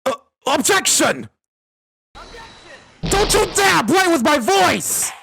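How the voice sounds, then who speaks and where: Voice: extremely high pitch, big pitch swings, takes it slowly, creaky, sharp tone, heavily acted. Haughty, easily flustered prosecutor acted by one fan dubber. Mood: flustered outrage. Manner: pompous, stammers when outraged. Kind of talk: fan dub courtroom comedy, dramatic video game acting.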